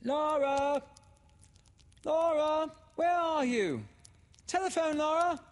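Man putting on a high, calling mother's voice. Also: lively intonation, slow, big-room sound, exaggerated voice. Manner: calling out loudly. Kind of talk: dramatised storybook reading.

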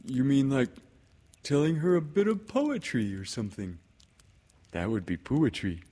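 A man's voice: mid-range voice, expressive, a little slow, warm tone, pitched above the performer's own voice. A man voicing a good-natured, simple-minded little toy bear in a storybook, hesitant and earnest, with ponderous delivery. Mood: puzzled, friendly.

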